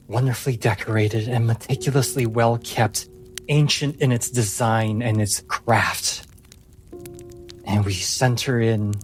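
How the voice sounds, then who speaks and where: A man working as game master, telling a dramatic fantasy scene in measured phrases. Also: on the low side. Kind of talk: dramatic fantasy tabletop narration. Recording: music behind the voice.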